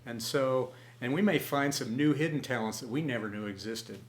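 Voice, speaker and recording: A man telling stories at home, talking in a folksy, encouraging way with many pauses. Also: mid-range voice. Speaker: storyteller. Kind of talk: home storytelling video. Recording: echoey room.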